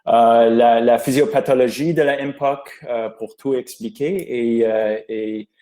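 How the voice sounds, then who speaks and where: A man presents a medical webinar, speaking in a language that is not his first, with English as his native tongue. His tone is mellow and relaxed, and he stops often to search for words, filling the gaps with hesitations. He sounds like he is on a computer mic over a video call. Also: mid-range voice, unhurried. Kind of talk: webinar lecture.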